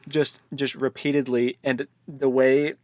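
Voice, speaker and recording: A man co-hosting a casual book discussion, retelling things in an animated way peppered with "like". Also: mid-range voice, a little slow, no room sound. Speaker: book podcast co-host.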